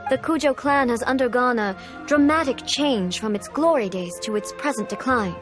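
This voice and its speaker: A woman playing a stern, dignified immortal ruler in a video game, speaking in a formal, measured, composed way. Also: sits high.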